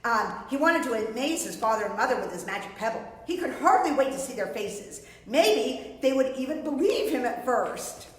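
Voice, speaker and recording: Woman children's storyteller, middle-aged: highish, very animated, theatrical, reverberant room. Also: moderate pace, hardly any pauses. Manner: theatrical, explanatory, addressing young children. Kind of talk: read-aloud of a picture book to children. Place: indoors before a painted storybook backdrop.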